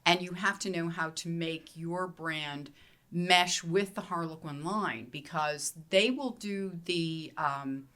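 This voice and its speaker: A middle-aged woman romance author from Pennsylvania, answering panel questions in a measured, explanatory way with some restarts, speaking into a handheld mic in a meeting room. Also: lowish voice, fairly bright tone. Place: hotel meeting room, seated at table.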